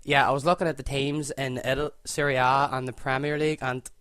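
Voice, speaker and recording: A man's voice: medium pitch, brisk, smooth, no room sound. A man with a Northern Irish accent, talking casually through his choices as he sets up a football game, thinking aloud and often correcting himself mid-sentence. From Northern Ireland. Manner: relaxed, thinks aloud, self-correcting as he goes. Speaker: gaming video creator. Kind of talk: casual gameplay commentary.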